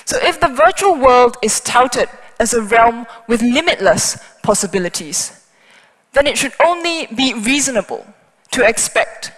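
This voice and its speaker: Woman with a higher voice giving a talk in a hall. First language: Chinese. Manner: explanatory, brisk, with small asides. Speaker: conference speaker. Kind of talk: technical conference talk. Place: large conference hall on stage. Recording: hall reverb.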